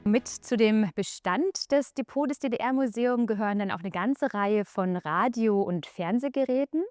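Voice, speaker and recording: A woman's voice: fairly high pitch, unhurried, darkish tone, no echo, clean studio sound, soft background music. A woman explaining objects in a museum collection in an informal, explanatory way, stressing key words as she goes.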